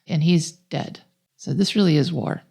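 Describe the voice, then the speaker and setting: A woman talks through a film as a podcast co-host. Her voice sits very low for a woman, with a soft, mellow tone and plenty of vocal fry. The delivery is conversational. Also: a little slow. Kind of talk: conversational film plot recap.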